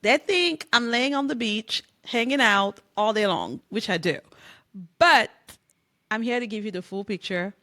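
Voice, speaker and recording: A middle-aged woman from Cameroon, a business coach, talking casually and lively to camera with occasional laughs. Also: on the high side, unhurried.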